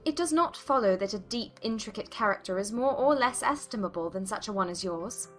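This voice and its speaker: A woman's voice: mid-range voice, expressive, brisk, faint music behind, natural voice. A woman voicing a witty, self-assured young lady in a dramatized audiobook, teasing and articulate. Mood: playful. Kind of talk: dramatized audiobook character reading.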